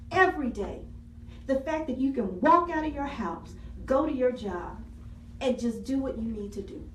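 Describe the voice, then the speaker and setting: A woman's voice: mid-range voice, recorded from a distance, slight background noise. Woman speaking earnestly in an echoey hall. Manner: earnest, repeats key phrases for emphasis. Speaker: city council member. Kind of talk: public meeting speech. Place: city council meeting room.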